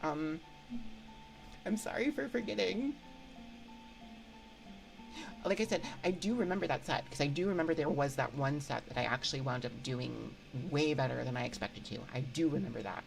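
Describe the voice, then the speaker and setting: A woman hosting a casual livestream chat, thinking aloud in a hesitant, halting way into a close microphone. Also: sits low, vocal fry, faint music behind, rough recording. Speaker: livestream host. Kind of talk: casual livestream chat, answering viewer comments. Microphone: close binaural microphone.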